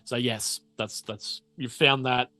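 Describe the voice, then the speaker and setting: A man with a mid-pitched, bright and forward voice runs a tabletop game. He speaks slowly and haltingly, pausing to gather the next detail. The sound is close and dry. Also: steady tone, no room sound, soft background music. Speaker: game master. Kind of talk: improvised tabletop game narration.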